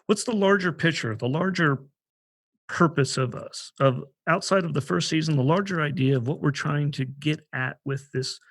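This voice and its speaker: A man's voice: a little high, moderate pace, fairly bright tone, no room sound. A man co-hosting a podcast, talking conversationally in an earnest, explanatory way. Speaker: podcast co-host. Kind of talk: conversational podcast talk about a theater project.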